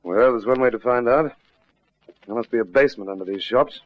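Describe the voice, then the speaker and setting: Actor as a measured Australian police sergeant, muffled recording. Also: male voice, mid-range voice, close to an everyday voice. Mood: measured, intrigued. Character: calm, methodical, authoritative male police detective sergeant. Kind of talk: classic radio detective drama acting. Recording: muffled vintage radio drama recording.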